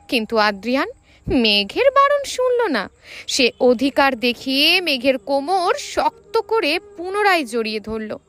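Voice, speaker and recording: A woman storyteller reads romantic fiction in a high voice. Her pitch moves up and down in a lively way as she delivers the scripted narration with drama.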